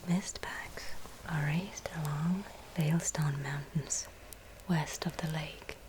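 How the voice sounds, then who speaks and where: A woman playing a gracious medieval fantasy innkeeper in an ASMR roleplay, speaking in a soft, breathy whisper with gentle, old-fashioned formal phrasing. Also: low voice, steady tone, takes it slowly, slight background noise. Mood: gentle, mysterious.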